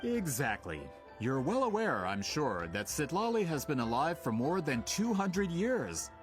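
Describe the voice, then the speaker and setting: A man's voice: fairly high pitch, very animated, a little slow, music in the background. An actor playing a self-assured, somewhat impatient author in a video game, pushing to get what he wants. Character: pushy male author chasing a story.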